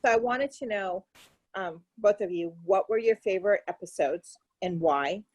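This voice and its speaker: A woman interviewer cheerfully asking two quick questions. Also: medium pitch, a little mellow, husky, no room sound. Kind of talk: press junket interview.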